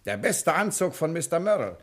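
An actor playing a cheeky, self-assured gentleman thief in a German radio crime play from 1960. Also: fairly high pitch, sing-song, moderate pace. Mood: playful boasting. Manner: jaunty, self-assured, playfully boastful. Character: cheeky, smooth gentleman thief posing as a variety artist.

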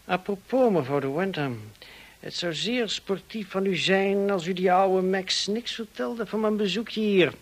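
Drawling, high-voiced young rake. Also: male voice, measured pace. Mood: mocking. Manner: familiar, teasing, drawling. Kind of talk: radio drama acting.

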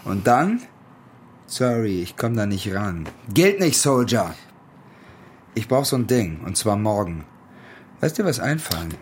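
A man's voice: on the low side, big pitch swings, a little slow, pauses often, a little hiss or hum. An actor playing a menacing, controlling young ex-soldier in a radio drama, curt and commanding, with bitterness in his manner. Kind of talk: naturalistic German radio drama acting.

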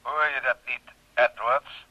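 Threatening anonymous caller: very sharp, forceful tone, slow ominous delivery, heard over a telephone in radio drama. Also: male voice, mid-range voice, steady tone, heavily acted. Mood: cold menace. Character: menacing anonymous male caller making death threats. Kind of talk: radio play acting. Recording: dull lo-fi radio, telephone sound.

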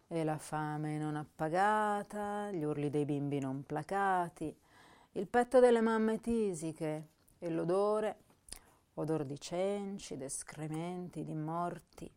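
A woman playing a young, intense, melancholy female poet, reciting literary verse and prose in a measured, solemn way with pauses between phrases. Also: lowish voice, a little mellow, clean tone. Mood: somber, aching. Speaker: actress reciting poetry and prose.

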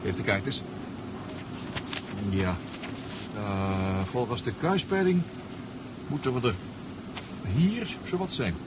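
A man's voice: medium pitch, big pitch swings, slow, pauses often. Fretful man, noisy old radio serial. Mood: tense, hesitant. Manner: hesitant, fretful. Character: anxious, doubting male companion on a secret mission. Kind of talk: 1970s Dutch science-fiction radio play acting. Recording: dull, noisy 1970s radio drama recording.

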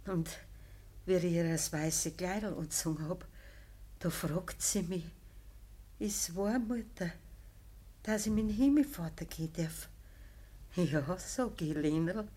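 Radio play casting: frail Bavarian farm mother on her deathbed, lowish husky voice, humble, sorrowful, deferential. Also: dark tone. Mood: wistful. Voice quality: husky, weak. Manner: halting, deferential. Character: dying peasant mother, humble, sorrowful, devoted. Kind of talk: naturalistic dialect radio play acting.

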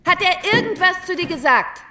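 High-voiced, bossy, impatient woman. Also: lively intonation, moderate pace, bright, forceful tone, heavily acted. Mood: irritated, demanding. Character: bossy, impatient woman, coaxing then cursing. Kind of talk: radio drama acting.

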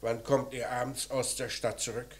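An actor playing a calm, laconic police commissioner in an old radio crime drama, questioning in a terse, matter-of-fact way. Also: male voice, medium pitch, normal speed, close to an everyday voice. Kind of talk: 1950s-80s German radio crime drama acting.

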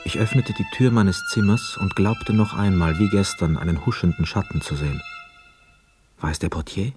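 An actor playing a reserved, reflective war returnee who narrates a radio play in a measured, literary way. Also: male voice, low voice, over music, natural voice. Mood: brooding. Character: pensive, reserved male war returnee narrating his story.

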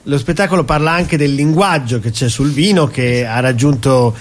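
A man's voice: mid-range voice, moderate pace. A man chatting as a guest on a radio show, talking in long run-on sentences and laughing often. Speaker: stage performer and guest. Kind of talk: casual radio chat. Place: radio studio.

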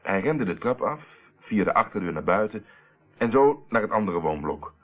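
Self-assured detective in a dutch radio play, low voice, kinda husky, old tinny recording. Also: male voice, big pitch swings, moderate pace. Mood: pondering, explanatory. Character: self-assured male private investigator explaining a solved case.

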